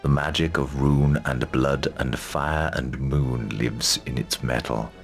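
An actor playing a solemn, respectful older lord in a fantasy game, speaking in a formal, stately way with a gravelly voice. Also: male voice, extremely low pitch, steady tone, takes it slowly, faint music behind, heavily acted. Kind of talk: fantasy video game cutscene acting.

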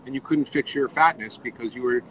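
A man of sixty talking earnestly and deliberately on a live video call from outdoor café seating, using his phone at arm's length. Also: medium pitch, a bit bright, slight background noise, rough recording. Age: 60s. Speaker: guest, physician. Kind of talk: casual live video chat. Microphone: phone front camera at arm's length.

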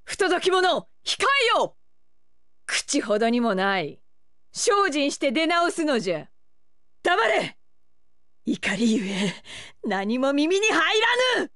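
A woman's voice: high-pitched, sing-song, takes it slowly, long pauses, fairly bright tone, no echo, clean studio sound, heavily acted. A woman voicing a haughty, lovestruck young pirate empress in an anime video game, with imperious, archaic regal diction. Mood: haughty, commanding.

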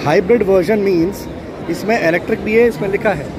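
A man's voice: high voice, a little fast. A middle-aged man narrating a casual walkthrough of cars, mixing in English phrases and repeating names. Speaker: vlogger touring a car show. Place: large indoor auto expo hall. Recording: loud crowd hall noise.

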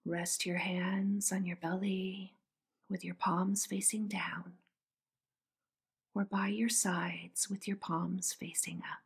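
A woman leads a guided meditation in a soft, breathy voice with a very even tone. Her delivery is slow and soothing, with a noticeable gravelly fry at the edges. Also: medium pitch.

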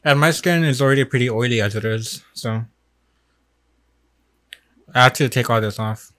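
A young man casually commenting while practicing a language lesson in a small room, slow and self-deprecating. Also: mid-range voice, fairly bright tone. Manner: slow, self-deprecating, repeats words aloud while learning. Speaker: vlogger. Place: small room indoors, bedroom.

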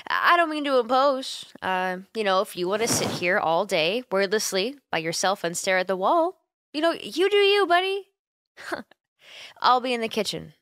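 A woman's voice: on the high side, animated, normal speed, dry recording. A caring young woman roommate, acted by a woman in an ASMR roleplay, recorded close and dry. Her intonation swings freely as she coaxes and teases her friend, hesitant at times and playful. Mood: playful, teasing. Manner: playful, gently coaxing, giggly, hesitant. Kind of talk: ASMR roleplay, intimate one-sided conversation.

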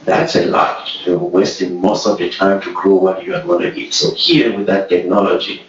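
Conference speaker, man with a Cameroonian accent, low pitch, earnest, recorded from afar in a roomy hall, dull sound. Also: flat delivery, recorded from a distance. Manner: earnest, speaks slowly with fillers. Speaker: program leader giving a conference talk. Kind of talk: conference presentation. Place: conference room.

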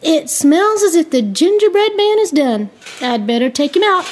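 A woman's voice: very high voice, very animated, moderate pace. A woman voicing a sweet little old wife in a children's puppet show, cooing and singsong, with music playing underneath. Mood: pleased, busy.

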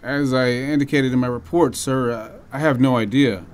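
A man's voice: mid-range voice, steady tone, normal speed, a little hiss or hum, natural voice. A man acting a guarded, respectful army lieutenant in an audio drama. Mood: guarded, insistent.

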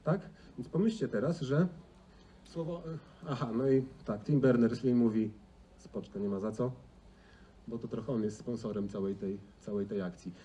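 Middle-aged male conference speaker, conversational, relaxed low-brightness tone, recorded at a distance with background noise. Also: moderate pace. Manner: conversational, frequent rhetorical tag questions. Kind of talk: informal conference presentation. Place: large indoor auditorium stage. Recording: distant, noisy stage sound in a hall.

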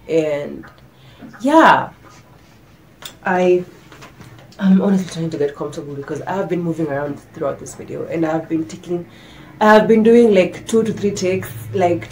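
Young woman, low mellow voice, stuffy and losing her voice, chatty vlog from a bedroom. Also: low-quality recording. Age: young adult. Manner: chatty, candid, restarts often. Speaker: vlogger. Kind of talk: casual chat to camera. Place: bedroom, indoors. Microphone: camera in front, medium framing.

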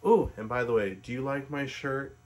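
A man's voice: medium pitch, normal speed, a little hiss or hum. Young man reading a storybook aloud. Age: late 20s. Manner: gentle, casual storytelling. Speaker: storybook reader. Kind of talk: reading a Christmas poem aloud. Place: living room couch, indoors. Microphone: webcam facing him at close range.

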